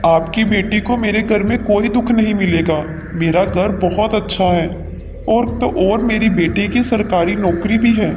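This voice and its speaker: Stern, self-serving bird father for a kids' cartoon, played by a man; high-pitched and declamatory, in a hall-like reverb. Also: a little slow, background noise. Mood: pleased. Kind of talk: Hindi children's cartoon dubbing. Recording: long hall reverb, music underneath.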